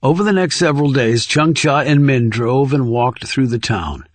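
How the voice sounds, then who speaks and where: A man reading scripted thriller narration in a measured, composed way. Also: mid-range voice, expressive, dry recording. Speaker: audiobook narrator.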